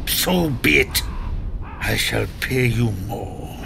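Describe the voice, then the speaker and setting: An actor voicing an excitable, shifty trader in monster curios in a video game, theatrical and evasive. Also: male voice, medium pitch, slow, gravelly, background noise, full character voice. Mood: indignant.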